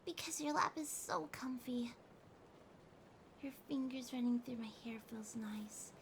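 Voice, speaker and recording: Woman voicing a high, slow-talking needy cat girl. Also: a little hiss or hum. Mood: affectionate, sleepy. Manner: pouty, teasing, drowsy, speaking softly to a partner. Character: needy, haughty but affectionate young female cat girl. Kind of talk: ASMR roleplay, soft intimate acting. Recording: steady rain sounds behind voice. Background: rain ambience.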